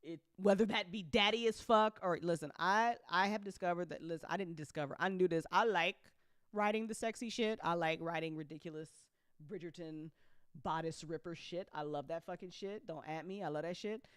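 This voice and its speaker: A woman host chatting casually and unscripted to her listeners, candid and excitable, with emphatic repetition, slang and plenty of swearing. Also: on the low side, a little fast, clean tone, no echo, studio quality. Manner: excitable, emphatic with repeated phrases. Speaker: host and audio drama writer-producer.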